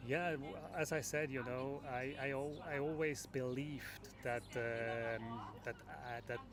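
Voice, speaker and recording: A middle-aged man from Switzerland answering a street interview outdoors, reflective and halting, with many fillers. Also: mid-range voice, fairly even intonation. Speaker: festival participant. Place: outdoor festival square. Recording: crowd noise outdoors.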